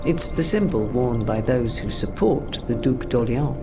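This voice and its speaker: A woman's voice: rumbling low, lively intonation, normal speed. A woman playing an anguished French noblewoman of the royal court in a video game, formal and mournful. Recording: game dialogue over loud music.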